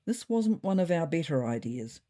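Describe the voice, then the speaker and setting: A woman's voice: low voice, big pitch swings, moderate pace, dark tone. A woman voicing a wry, self-important male cat telling his diary in a dry, mock-serious way for a comedy story.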